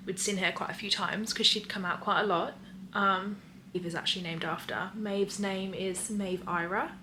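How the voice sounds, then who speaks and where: A woman's voice: lowish voice, noisy background. A young English woman with a slight Australian lilt, chatting casually and rambling with many fillers in a vlog Q&A. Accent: English, with Australian traces. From England. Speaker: vlogger. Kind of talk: casual chat, Q&A.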